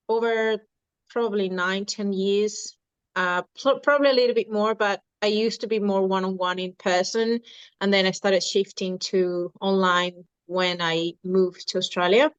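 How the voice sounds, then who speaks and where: A woman in her thirties from Venezuela, a dietitian and nutrition coach, answering podcast questions in unhurried, halting speech with many restarts. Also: medium pitch, clean tone, dry recording, highs cut off. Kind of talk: podcast interview.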